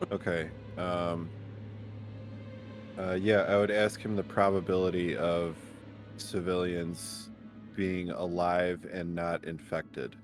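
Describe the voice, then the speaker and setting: A man's voice: deep voice, level tone, takes it slowly, pauses often, soft background music. A man talking tentatively and casually as a player in a tabletop game.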